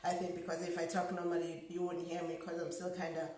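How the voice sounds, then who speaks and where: A middle-aged woman with a hoarse, slightly nasal voice, chatting loudly with many fillers while cooking at home. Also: on the low side, completely flat delivery, fast talker, distant mic. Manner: loud, close to shouting, many fillers. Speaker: home cook vlogger. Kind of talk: casual cooking vlog chat. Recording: very echoey kitchen, voice off-mic.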